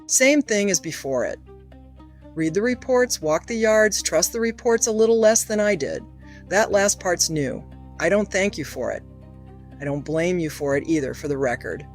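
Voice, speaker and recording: A woman's voice: on the low side, lively intonation, normal speed, soft background music. A woman playing a stern, plainspoken middle-aged inspector in an audio drama, clipped and matter-of-fact. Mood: reflective, blunt. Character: stern, plainspoken veteran female utility inspector.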